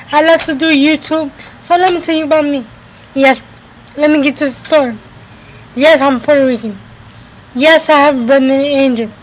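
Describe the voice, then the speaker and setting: Woman in her twenties, high-pitched, casual home vlog chat, emphatic repetition, webcam. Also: rough recording. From United States, New Jersey and Florida. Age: 20s. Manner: repeats phrases emphatically. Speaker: vlogger. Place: indoors at home by a window. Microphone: webcam at arm's length.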